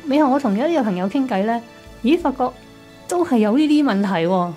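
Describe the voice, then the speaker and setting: A woman's voice: on the high side, expressive, quick pace, dark tone. A woman with a Hong Kong Cantonese accent giving a conversational testimony as a guest in a radio studio. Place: small padded radio studio. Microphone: studio desk microphone. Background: music.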